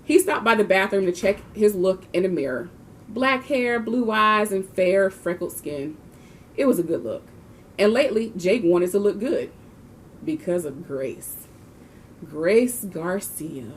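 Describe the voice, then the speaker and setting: A woman's voice: mid-range voice, expressive, unhurried. Woman reading a storybook over background noise. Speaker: volunteer reader of a children's book. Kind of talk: storybook read-aloud.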